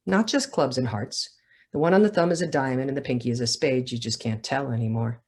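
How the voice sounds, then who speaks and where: Blunt, deadpan jaded young woman read by an author. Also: rumbling low, a little fast, slightly rough, full character voice. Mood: dismissive.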